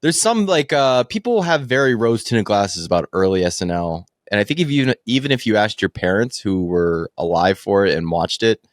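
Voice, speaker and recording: A man's voice: fairly low pitch, animated, normal speed, few pauses, no room sound, studio quality. A man talking casually and bluntly as a movie podcast panelist, in an informal conversational style. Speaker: movie podcast co-host or guest. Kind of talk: casual movie-review chat.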